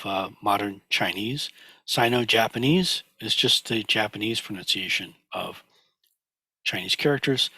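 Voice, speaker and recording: A man teaching a class over slides, a little hoarse from a cold, halting with many fillers and restarts. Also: lowish voice, bright, forceful tone, dry recording. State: recovering from a cold, slightly hoarse.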